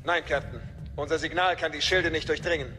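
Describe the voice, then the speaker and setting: An actor playing a dutiful, businesslike soldier delivering crisp military reports in a radio drama. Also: male voice, a little high, fairly even intonation, fairly quick, noisy background, natural voice. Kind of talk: German radio drama acting. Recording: long hall-like reverb.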